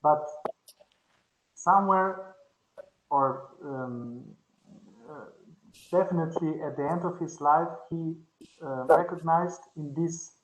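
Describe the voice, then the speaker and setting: A man whose first language is Slovenian, giving a halting academic comment at a conference, with many restarts. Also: fairly high pitch, reverberant, rough recording. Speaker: conference panelist. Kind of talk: academic discussion. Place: conference session.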